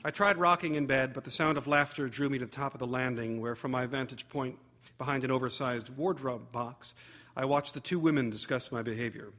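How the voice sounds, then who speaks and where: A man reading a humorous memoir aloud as theatrical narration, wry and expressive with deliberate phrasing. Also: medium pitch. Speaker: actor reading a short story.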